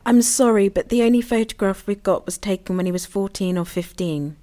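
A woman's voice: mid-range voice, a little fast, mellow, no echo, close to an everyday voice. An actress playing the anxious, guilty sister of a missing man in an audio drama, colloquial and self-questioning. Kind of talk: audio drama, confessional monologue and dialogue.

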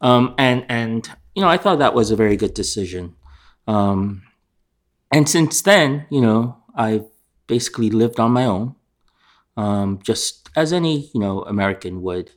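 A man from New York by way of Korea and England, giving halting, reflective answers as an interview guest. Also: lowish voice, lively intonation. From born in Korea, raised in England and New York.

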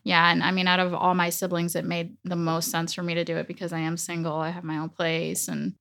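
Gravelly-voiced woman in her forties. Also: fairly low pitch, monotone, brisk, a bit bright. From Dominican Republic. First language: Spanish. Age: 40s. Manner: earnest, reflective, laughs lightly. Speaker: interview guest. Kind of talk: casual personal storytelling.

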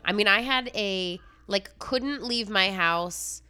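Young adult woman, congested, bright tone, blunt and chatty podcast co-host. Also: medium pitch, slow pace, clear, resonant tone, soft background music. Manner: frank, profane, chatty. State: congested with the flu. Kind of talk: casual chat.

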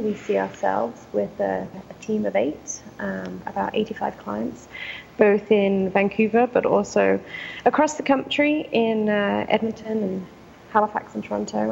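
A woman's voice: mid-range voice, creaky, slight background noise, rough recording. A woman with an English accent, answering interview questions about her business in a conversational way with frequent ums. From England. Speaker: guest, company founder.

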